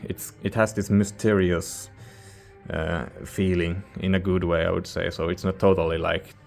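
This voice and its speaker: Low, monotone man giving a casual review. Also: moderate pace, gravelly, faint music behind. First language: not English. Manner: hesitant, informal, searching for words. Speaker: music reviewer, channel host. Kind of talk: casual rambling album review.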